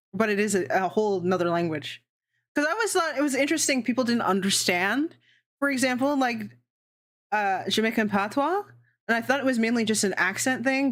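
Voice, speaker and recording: A young adult woman hosting a casual livestream, chatting in a rambling way, swearing freely and laughing often. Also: medium pitch. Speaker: VTuber streamer hosting a worldbuilding session.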